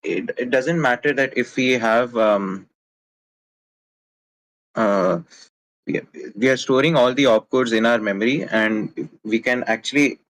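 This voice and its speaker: A man's voice: mid-range voice, a little slow, long pauses, fairly bright tone, poor audio. A man answering technical questions in a casual online meeting, hesitant with many fillers and restarts. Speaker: mentee presenting a project.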